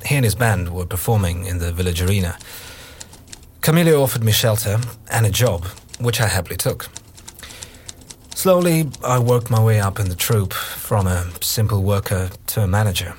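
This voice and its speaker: A man's voice: fairly low pitch, lively intonation, moderate pace, a little hiss or hum, lightly acted. An actor playing a male journalist turned circus troupe manager, recounting his past in an audio play monologue. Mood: reflective recounting. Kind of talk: dramatised first-person monologue in an audio play.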